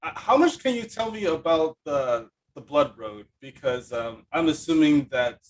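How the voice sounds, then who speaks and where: A man hosting a casual interview, asking rambling questions in a halting way. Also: on the high side, lively intonation, slight background noise, rough recording. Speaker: podcast host, interviewer.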